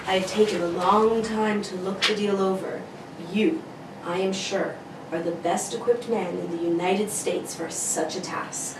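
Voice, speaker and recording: A woman's voice: medium pitch, steady tone, natural voice. A woman playing a grave, formal masked government official in an amateur read of an old radio serial. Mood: grave, urgent. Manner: solemn, formal, deliberate. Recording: roomy, distant mic, clearly noisy.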